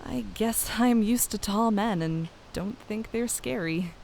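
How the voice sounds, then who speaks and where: A woman acting as a young druid princess with a mid-pitched voice, open and curious. It is scripted fantasy audio drama, with audible hiss. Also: a little slow, darkish tone, poor audio. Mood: earnest, curious. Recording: clear background hiss.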